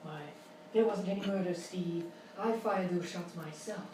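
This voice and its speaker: Actress playing femme fatale, seductive, coy, radio detective spoof, distant echoey noisy stage recording. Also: female voice, lowish voice, moderate pace, darkish tone, close to an everyday voice. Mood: flirtatious, coy. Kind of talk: noir radio parody. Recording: echoey live room, distant mic, noisy.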